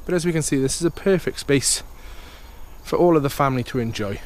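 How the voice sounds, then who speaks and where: A man's voice: medium pitch, brisk, a little mellow. A man, an estate agent, giving an upbeat walkthrough tour of a house, full of sales patter and repeated "okay" and "fantastic".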